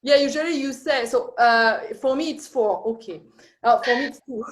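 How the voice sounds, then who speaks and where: A woman's voice: sits high, normal speed, noisy background. Hesitant French-accented woman. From France. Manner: hesitant, many uhs and repeated words. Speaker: guest French teacher.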